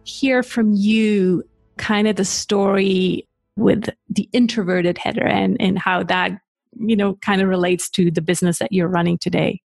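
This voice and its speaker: A woman's voice: medium pitch, steady tone, a little slow, vocal fry, a little mellow, dry recording, faint music behind. A woman hosting a podcast, asking her guest questions in an encouraging, chatty way. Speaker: podcast host. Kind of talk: casual interview chat.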